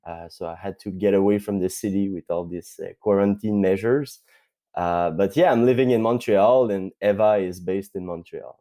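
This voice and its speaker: French-Canadian man with a dark voice. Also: deep voice. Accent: Quebec French. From Quebec, Canada. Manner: thoughtful, many filler pauses. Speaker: guest, tech company CTO. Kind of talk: podcast interview.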